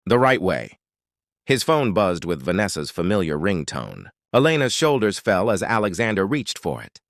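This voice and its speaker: Dramatic male story narrator, lively delivery. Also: sits low, normal speed, no echo, clean studio sound.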